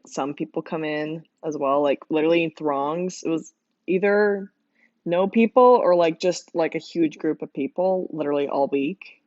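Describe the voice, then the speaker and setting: A young woman speaks with a hoarse, strained voice, as if she has been shouting for days. She rambles casually to her viewers, laughing often between her thoughts. Her voice comes through a dull, lo-fi mic with a dry, close sound. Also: fairly low pitch, dry recording. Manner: giggly, laughs often, many fillers. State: hoarse, losing voice from screaming. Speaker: livestreamer drawing art.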